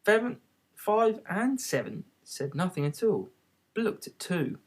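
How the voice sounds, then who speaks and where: A man reading a children's classic aloud in an expressive storybook narration style. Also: high-pitched, a little slow, husky. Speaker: audiobook reader.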